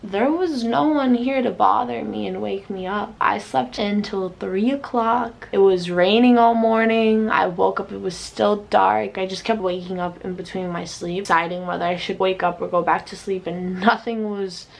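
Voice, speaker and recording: Young woman, gravelly voice, blunt and frank, casual vlog talk to camera, swears a lot. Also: medium pitch, normal speed, few pauses. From United States. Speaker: vlogger.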